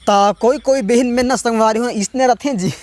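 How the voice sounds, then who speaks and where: A high-voiced man with a Chhattisgarhi accent, his throat a little hoarse. He jokes to his viewers in a chatty stand-up style and breaks into laughter between lines. A faint hiss of noise sits behind him. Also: a little mellow. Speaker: stand-up comedian and channel host. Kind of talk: casual stand-up comedy monologue.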